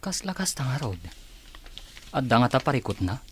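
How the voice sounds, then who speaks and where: A man playing a curious, gossipy office employee with a husky voice and a Filipino accent in a radio drama. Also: mid-range voice, big pitch swings, moderate pace. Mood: curious, concerned.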